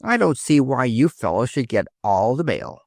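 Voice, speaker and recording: A man voicing a sulky male pet pig, talking slowly with a whine. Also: mid-range voice, very animated, gravelly, a little mellow, no echo, clean studio sound.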